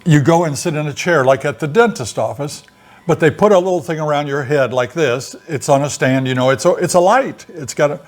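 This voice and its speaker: An older man in a relaxed, folksy co-host chat, his voice sitting a little high for a man. He rambles and explains at an easy pace, with plenty of "you know" along the way. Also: a little fast. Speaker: co-host of a retiree channel. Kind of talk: casual chat.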